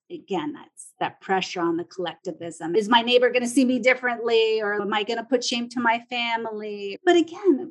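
A woman's voice: a little high, creaky. A woman explaining her thoughts conversationally, as an interview guest.

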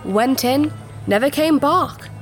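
A woman's voice: sits high, expressive, slow, soft background music. A woman playing a scheming, haughty alien princess in a sci-fi audio drama, speaking in formal, dramatic phrases. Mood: cool, bargaining.

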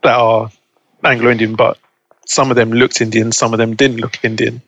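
A young man as an interview guest, chatting casually and relaxed, laughing easily. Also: medium pitch, normal speed, no room sound, highs cut off. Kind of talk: casual conversation.

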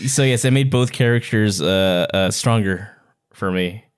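A man talks casually as a podcast co-host in a book discussion. His voice is a bit on the low side. He tends to halt and repeat words as he works through an opinion. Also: a little slow, clean tone.

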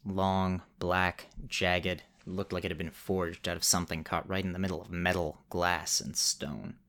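A deep-voiced man narrates a fantasy story. He delivers it in deliberate, clipped phrases, leaving dramatic pauses between them. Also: flat delivery, fairly bright tone.

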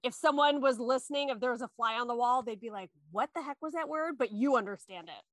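A woman co-hosting a podcast, asking questions in a casual, chatty, playful and animated way. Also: fairly high pitch, fast, dry recording. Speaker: podcast co-host.

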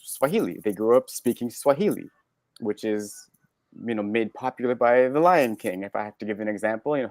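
A man with a Canadian accent, a podcast guest telling personal stories candidly, halting and correcting himself in an animated way. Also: mid-range voice, dry recording. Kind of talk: candid personal storytelling in conversation.

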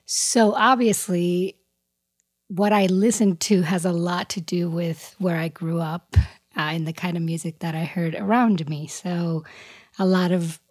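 Slow, soft-spoken woman from the Dominican Republic. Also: lowish voice, fairly even intonation, no room sound, studio quality. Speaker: guest. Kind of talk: casual chat.

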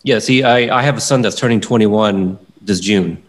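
A man's voice: fairly low pitch, normal speed. A man in his late thirties hosting a casual interview, asking friendly, rambling questions. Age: 30s. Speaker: podcast host.

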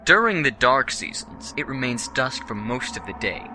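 A man's voice: medium pitch, very animated, normal speed, a little hiss or hum, rough recording. A man playing a wry, formal narrator in a radio play, telling the story with mock-serious drama. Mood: ominous. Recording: loud music behind the voice.

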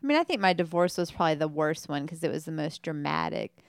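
Woman in her thirties, podcast co-host: small light voice with fry, dry close sound, wry and teasing. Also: deep voice, lively intonation, quick pace, no echo. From Tennessee. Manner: wry, teasing, laughs often. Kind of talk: casual chat.

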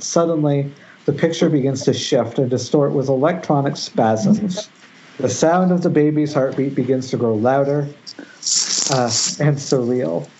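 A man's voice: fairly high pitch, dark tone. A man narrating screen directions at an informal table read over an internet call, slightly stumbling through a dramatic reading. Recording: dull, noisy internet call.

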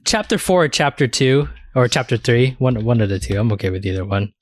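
A man with a medium-pitched voice chats casually as a podcast co-host. He talks informally and swears freely, in a close, dry-sounding recording. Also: expressive, brisk, dry recording. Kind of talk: casual chat about a video game.

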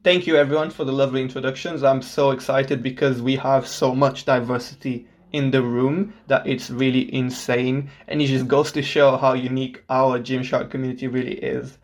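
A man's voice: mid-range voice, flat delivery, normal speed, few pauses. A man in his twenties from Portugal hosting a podcast, speaking earnestly and enthusiastically. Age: 20s. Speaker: podcast host. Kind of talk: scripted podcast introduction.

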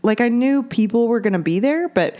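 A woman's voice: on the high side, lively intonation, brisk, warm tone. An American woman in her forties chatting casually and candidly as a co-host, with some swearing. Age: 40s. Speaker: podcast co-host. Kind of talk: casual chat.

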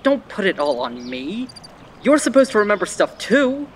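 A man's voice: very high-pitched, big pitch swings, moderate pace, slight background noise. A man playing a bossy, defensive young older brother in a dramatic audio drama. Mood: angry, defensive. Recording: faint outdoor night ambience, crickets.

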